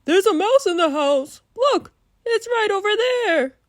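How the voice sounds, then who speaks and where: Woman acting out a loud, excitable storybook dad. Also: very high-pitched, unhurried, a little hiss or hum, pitched above the performer's own voice. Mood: panicked. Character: panicky, stern father in a children's storybook.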